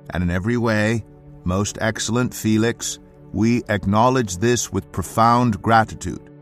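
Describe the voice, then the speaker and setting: A man narrating scripture as an audiobook, with a measured, solemn and emphatic dramatic delivery. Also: mid-range voice. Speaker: Bible narrator.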